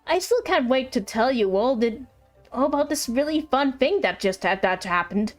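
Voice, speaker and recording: A woman's voice: high-pitched, lively intonation, moderate pace, faint music behind. A woman voicing a cheerful, friendly servant, eagerly telling a story from a game letter. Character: cheerful, friendly servant excited about a food discovery. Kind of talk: reading a game letter aloud in character.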